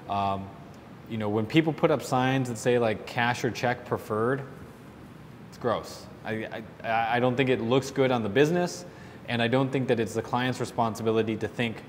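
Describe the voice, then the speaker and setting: A man hosting a question-and-answer show, giving informal, opinionated and explanatory advice off the cuff. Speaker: host answering listener questions on salon business. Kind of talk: casual unscripted business advice. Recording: roomy reverberant hall, low rumbling noise.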